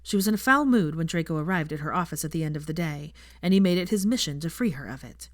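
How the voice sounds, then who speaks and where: Low-voiced woman reading a story, dry sound. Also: expressive, fast, no echo. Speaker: audiobook narrator. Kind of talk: scripted fan fiction narration.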